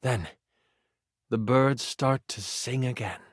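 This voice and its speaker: A man's voice: medium pitch, no room sound, clean studio sound. An actor playing a game master in a video game, a man narrating a tabletop fantasy adventure with theatrical, suspenseful timing. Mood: vivid, suspenseful. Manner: theatrical, suspenseful storytelling with weighted pauses. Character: living twenty-sided die, dramatic male game master.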